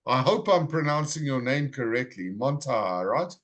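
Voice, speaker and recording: A male English teacher runs his online class over a video call. He speaks at an ordinary mid pitch, slows down to repeat each student's name clearly, and keeps a friendly, encouraging manner. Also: very animated, fairly bright tone.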